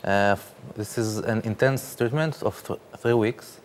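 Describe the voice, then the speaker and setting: A man, speaking haltingly with many fillers as a guest in a studio interview. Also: lowish voice, slight background noise. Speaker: interview guest, startup founder.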